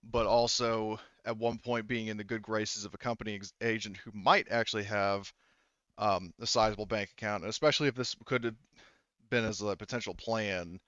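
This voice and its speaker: A man narrating a tabletop game casually as game master, in a halting, rambling way. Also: lowish voice, steady tone, a bit bright, clear, resonant tone, no room sound, muffled recording.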